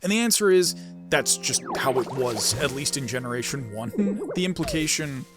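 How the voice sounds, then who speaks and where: Animated, rambling male gamer. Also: mid-range voice, normal speed, music in the background. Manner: rambling, self-aware asides. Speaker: gaming video host and amateur voice actor. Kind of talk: casual gameplay commentary.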